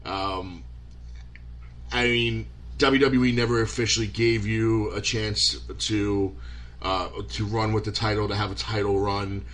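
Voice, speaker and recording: A man's voice: fairly low pitch, steady tone, measured pace, fairly bright tone, slight background noise. A man hosting a podcast interview, asking eager questions with frequent stammers. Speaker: podcast host. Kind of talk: casual interview.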